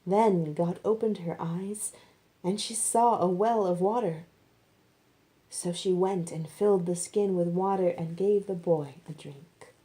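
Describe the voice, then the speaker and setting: Low-voiced woman reading with a mellow tone. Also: unhurried. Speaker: reader of scripture narrative. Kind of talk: scripted narration, expressive Bible storytelling.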